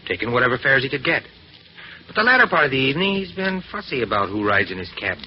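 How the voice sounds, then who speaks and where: An actor playing a brisk, slangy detective's assistant in a vintage radio drama. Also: male voice, medium pitch, big pitch swings, close to an everyday voice. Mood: eager, wry. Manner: brisk, colloquial. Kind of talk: 1940s radio acting. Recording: dull, faintly noisy vintage radio recording.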